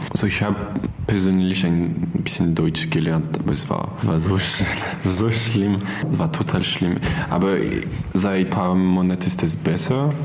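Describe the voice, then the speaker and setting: A man's voice: sits low, slight background noise. A young man with a French accent answering interview questions in a non-native language, searching for words in simple phrases. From France. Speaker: interviewee, European volunteer. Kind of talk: conversational interview answers in learner's German.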